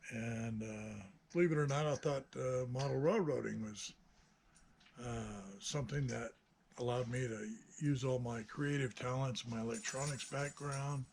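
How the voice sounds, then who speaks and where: A man's voice: medium pitch, a little slow, gravelly, darkish tone, a little hiss or hum. A man of sixty-nine giving an unscripted, reflective vlog monologue, hesitant and rambling as he thinks aloud. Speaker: hobbyist maker presenting own video channel.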